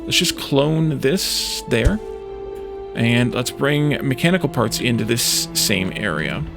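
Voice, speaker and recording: A man's voice: mid-range voice, lively intonation, takes it slowly, vocal fry, music in the background. A man hosting a let's play, muttering his way through game decisions aloud in short, hesitant phrases. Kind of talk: gaming commentary, thinking aloud while playing.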